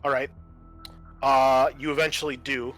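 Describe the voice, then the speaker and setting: Bright-voiced man running a tabletop game. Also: medium pitch, flat delivery, unhurried, soft background music. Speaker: game master, host. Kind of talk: narrating a tabletop roleplaying game.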